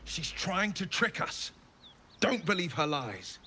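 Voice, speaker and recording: An actor playing a righteous, theatrical monster-hunting hero in a video game, formal and declamatory. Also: male voice, high voice, big pitch swings, slow pace, sharp tone, a little hiss or hum, exaggerated voice. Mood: indignant, forceful. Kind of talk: video game character acting.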